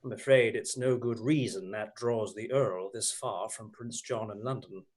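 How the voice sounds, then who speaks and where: A man's voice: fairly low pitch, normal speed, darkish tone, lightly acted. A man playing a bold, teasing, roguish outlaw leader in a radio play, with sardonic courtly manners. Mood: sly, needling. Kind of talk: theatrical radio play acting.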